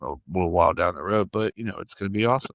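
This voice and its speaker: A man's voice: deep voice, very fast, gravelly, no room sound, studio quality. A man hosting a podcast with casual, unscripted talk. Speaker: podcast host.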